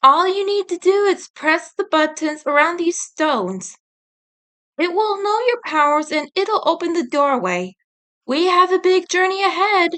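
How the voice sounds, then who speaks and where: A little fairy guide from a storybook, acted by a woman reader. She speaks high and slowly, with kindly reassurance, as if instructing young warriors. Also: lively intonation, sharp tone, full character voice. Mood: guiding, reassuring.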